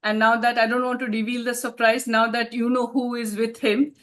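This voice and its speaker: A woman's voice: fairly high pitch, little pitch movement, brisk. A woman, an author answering interview questions in a reflective way and laughing often. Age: 50s.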